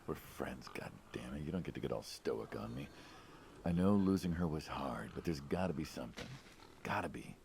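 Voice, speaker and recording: A man's voice: extremely low pitch, expressive, moderate pace, gravelly, a little mellow, slight background noise, heavily acted. An actor playing a mob hitman at odds with his old friend, insistent, emotive and colloquial, in a video game. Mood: pleading, frustrated. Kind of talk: naturalistic video game acting.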